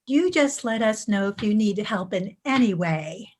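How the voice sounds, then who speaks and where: A woman's voice: mid-range voice, lively intonation, a little slow, a little mellow, husky. A woman playing an eager, helpful next-door housewife in a staged play reading, speaking with eager politeness. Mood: eager, welcoming.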